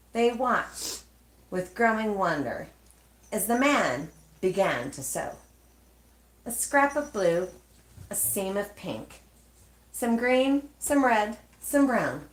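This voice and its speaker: A woman reading a children's storybook aloud expressively, with measured, dramatic phrasing and pauses. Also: mid-range voice, big pitch swings. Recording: echoey, roomy sound.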